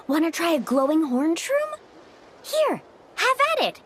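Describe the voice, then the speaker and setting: A woman's voice: extremely high pitch, big pitch swings, a little slow, a little hiss or hum, exaggerated voice. A woman voicing a bubbly, friendly young beach girl in a video game, breezy and gushing. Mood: delighted, coaxing. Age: young adult. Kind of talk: animated video game voice acting. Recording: loud background music.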